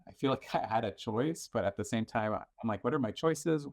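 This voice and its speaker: A man's voice: medium pitch, expressive, fairly quick, no echo, studio quality. A man in a reflective conversation, speaking thoughtfully and a little hesitantly. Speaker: conversation partner.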